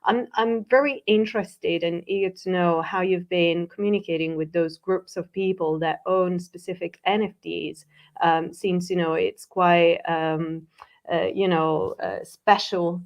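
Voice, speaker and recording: A woman's voice: lowish voice, fairly even intonation, unhurried, highs cut off. A woman hosting a virtual presentation on a video call, polite and welcoming, with a few ums. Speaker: event host.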